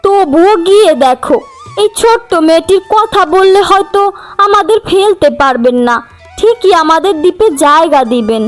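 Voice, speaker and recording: A woman's voice: very high voice, moderate pace. A woman playing a caring, coaxing village wife and mother in a folk tale cartoon, with music behind. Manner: coaxing, expressive. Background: background music.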